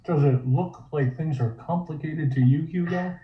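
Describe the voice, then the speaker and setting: A man playing a shrewd, smooth, quietly commanding 1920s film studio boss in a comic table read. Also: on the high side, normal speed, close to an everyday voice. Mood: confident, commanding. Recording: roomy, dull home microphone.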